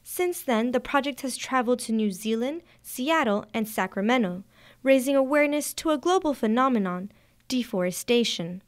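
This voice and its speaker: A woman reading scripted television news reports as a reporter, with crisp broadcast enunciation. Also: on the high side, animated, normal speed.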